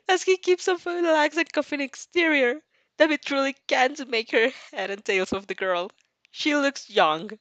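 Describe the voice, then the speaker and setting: A woman reads a story aloud with a very lively, swinging intonation. She is giggly and stumbles here and there as she reacts to the text, in a dry, close sound. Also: sits high, dry recording. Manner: giggly, stumbles over words. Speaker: fan fiction reader reacting as she reads. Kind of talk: expressive story reading with amused reactions.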